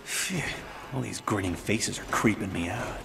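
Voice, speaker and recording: A man's voice: lowish voice, a little slow, creaky, background noise. A man playing a cynical, sarcastic young masked superhero in a video game. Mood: wry. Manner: sarcastic, quippy.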